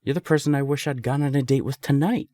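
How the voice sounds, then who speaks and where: A man's voice: mid-range voice, fairly even intonation, fast, warm tone, no room sound, natural voice. A young man acting a candid serial-dater friend who is secretly smitten, conversational and emphatic, in an intimate audio roleplay. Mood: earnest. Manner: conversational, hesitant, emphatic swearing.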